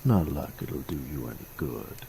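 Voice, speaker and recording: A man playing a cocky, sadistic bandit in an audio roleplay, with a gruff, teasing drawl. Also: lively intonation, moderate pace, dark tone, a little hiss or hum, heavily acted. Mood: teasing, menacing. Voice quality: gravelly, very deep drawl. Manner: drawling, teasing, folksy, crude. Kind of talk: intimate audio roleplay drama.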